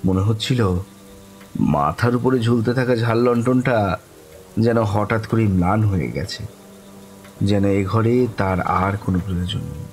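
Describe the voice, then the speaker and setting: A man playing a courteous young man who narrates his own eerie encounter in a horror audio story, lingering and expressive. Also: on the low side, unhurried, long pauses, soft background music. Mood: smitten, wistful. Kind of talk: dramatic first-person horror story narration.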